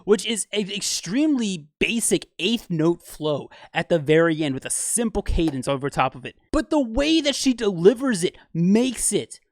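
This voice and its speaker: Lively, enthusiastic male video commentator. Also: high-pitched, moderate pace, hardly any pauses, no room sound, clean studio sound. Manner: enthusiastic, explaining rhythm terms with fillers. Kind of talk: analytical breakdown for a video.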